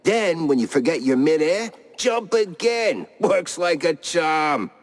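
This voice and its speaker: Cartoon game guru: eccentric streetwise stunt mouse, faux-serene, highish wildly swooping voice, long reverb. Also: male voice, big pitch swings, slow pace. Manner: faux-serene sage slipping into streetwise slang. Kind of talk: cartoon noir game voice acting. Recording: long echo, cavernous reverb.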